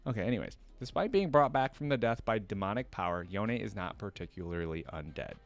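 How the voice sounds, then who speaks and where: Low-voiced man doing casual reaction commentary. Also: lively intonation, a little fast. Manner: informal, thinks aloud between read passages. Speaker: reaction video host. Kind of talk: reading lore aloud with casual off-the-cuff commentary.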